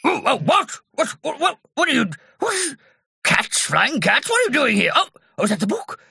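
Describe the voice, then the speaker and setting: A woman voicing a flustered male book publisher in a children's story, stammering in a raspy, creaky voice. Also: high voice, sing-song, normal speed, pushed and bright, dry recording, clean studio sound, voice pushed up, exaggerated voice. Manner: stammering, sputtering repetitions. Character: flustered, blustering male book publisher, Mister Big Nose.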